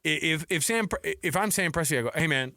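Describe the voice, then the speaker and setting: An American man in sports radio banter, with a forceful, bright edge to a voice pitched a little high. He is animated and opinionated, breaking off and restarting thoughts as he argues. The sound is dry and close. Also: a little fast, no room sound. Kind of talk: unscripted sports talk banter.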